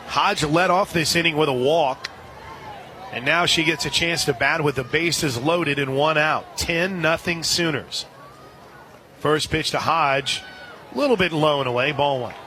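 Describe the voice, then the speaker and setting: A man doing live radio play-by-play of a softball game, slipping conversational asides in between pitch calls. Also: measured pace. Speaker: play-by-play announcer. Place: outdoor softball stadium broadcast booth. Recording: loud crowd and outdoor stadium noise. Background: crowd noise.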